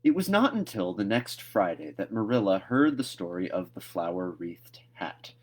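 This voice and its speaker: A man's voice: lowish voice, big pitch swings, moderate pace. A man in his thirties hosting a read-aloud, chatting casually and narrating a storybook. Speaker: host of a read-aloud series.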